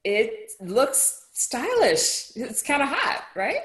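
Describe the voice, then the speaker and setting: A woman reading the part of a caring, playful mentor in a screenplay table read, speaking supportively and deliberately. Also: on the high side, wildly swooping pitch, a bit bright, heavily acted. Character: encouraging, playful mentor called Doc, steady and caring.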